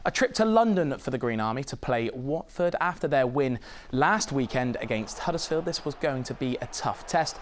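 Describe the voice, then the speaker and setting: A man reviewing football results in a brisk, analytical studio round-up. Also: medium pitch, lively intonation. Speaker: sports reporter. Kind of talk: sports round-up.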